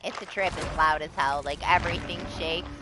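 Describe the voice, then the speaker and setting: A young woman from Texas, chatting casually on a live game stream, laughing often and swearing, with a voice starting to go hoarse. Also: deep voice, steady tone, brisk, background noise. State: starting to lose her voice. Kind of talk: casual live-stream chat while playing.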